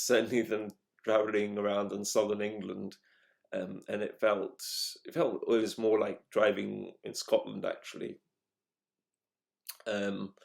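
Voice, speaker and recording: A man hosting his own show, telling a travel story in a measured, halting way as he searches for words. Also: fairly low pitch, gravelly. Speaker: podcast host. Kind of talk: solo travel monologue.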